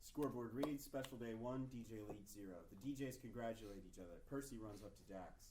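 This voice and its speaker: A man's voice: fairly low pitch, brisk, a little mellow. A man reading out stage directions as the narrator of a comedy script at a table read. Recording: echoey room, distant mic, faint noise.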